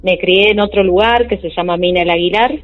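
A woman's voice: lowish voice, fairly quick. A woman in her forties with an Argentine accent, calling in to tell a true story, talking hesitantly with many fillers. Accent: Argentine Spanish. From Jujuy, Argentina. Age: 40s. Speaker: caller telling a true story. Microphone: phone. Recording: tinny phone line.

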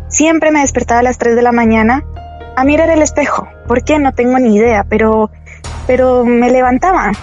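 Guest: young woman, higher voice, hesitant animated storyteller, dull lo-fi call audio with music. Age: young adult. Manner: animated, hesitant, many fillers. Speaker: guest telling a true story. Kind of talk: casual storytelling.

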